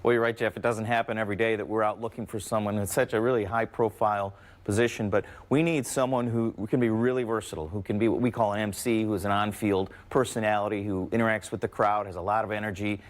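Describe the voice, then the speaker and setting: A man's voice: fairly low pitch, fairly even intonation, fast. A man with an American accent, answering interview questions conversationally as a university athletics representative.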